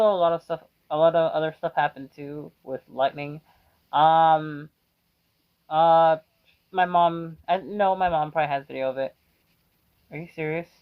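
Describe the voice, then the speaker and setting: A man's voice: a little high, a little hiss or hum. Rambling young-sounding man, muffled lo-fi mic. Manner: rambling, hesitant, many fillers. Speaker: reaction video host. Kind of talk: casual rambling commentary. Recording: dull lo-fi mic.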